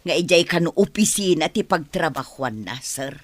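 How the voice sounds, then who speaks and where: A woman playing a flustered, deferential household servant in a comedy radio drama, husky-voiced, with an Ilocano Filipino accent. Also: deep voice, lively intonation, moderate pace, no room sound.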